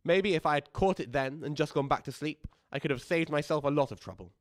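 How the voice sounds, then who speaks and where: A man's voice: on the high side, fairly quick, no echo, studio quality, close to an everyday voice. A man playing a callous, aggrieved old widower who rambles pedantically and justifies himself in a confiding radio drama monologue. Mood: resigned, bitter.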